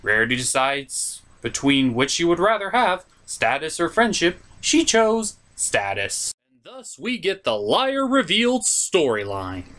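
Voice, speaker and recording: Super animated comedy reviewer host, sarcastic and theatrical, voice goes all over the place. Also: medium pitch, measured pace, hardly any pauses. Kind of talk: comedic scripted cartoon review.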